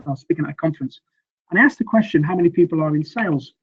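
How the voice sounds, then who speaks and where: A middle-aged man coaching on communication and sales, speaking earnestly and loosely with many fillers and restarts, a little croaky from weeks of teaching. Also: a little high, very fast, dull audio. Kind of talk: presentation with slides.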